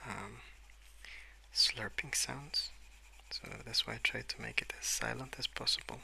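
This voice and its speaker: A man speaking softly and hesitantly in a rambling ASMR style, often slipping into whispers at a desk indoors. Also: medium pitch, a little slow, creaky, rough recording. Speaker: host of a colouring ASMR channel. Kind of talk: soft-spoken rambling ASMR. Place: at a wooden desk indoors, with pencil sounds.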